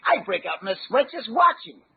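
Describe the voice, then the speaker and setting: An actor playing a scrappy, good-humoured adventurer sidekick with slangy, boyish, exclamatory talk in an old-time radio serial. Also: male voice, high voice, very animated, fast, husky, exaggerated voice. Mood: chatty, eager. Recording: tinny narrow-band radio recording with hiss.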